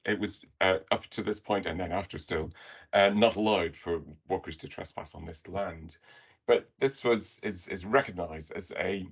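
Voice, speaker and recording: A man's voice: deep voice, moderate pace, gravelly, breathy edge, highs cut off. A man presenting slides on a webinar in a conversational way, putting questions to his audience over a video call.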